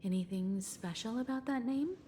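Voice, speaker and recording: An actress playing a polite, inquisitive young woman in a video game, with a courteous and gently probing manner. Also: on the high side, moderate pace, a little hiss or hum, close to an everyday voice. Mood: politely curious. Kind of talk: scripted video game dialogue. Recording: game music behind.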